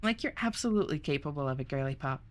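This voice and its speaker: A young woman streamer chatting casually, reading chat aloud, bubbly and quick to laugh. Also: deep voice, animated, a little hiss or hum.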